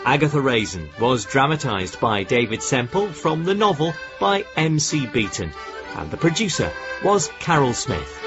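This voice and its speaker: A man's voice: mid-range voice, big pitch swings, normal speed. A man reading scripted cast credits and titles as a radio continuity announcer. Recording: dull sound, music behind. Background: music.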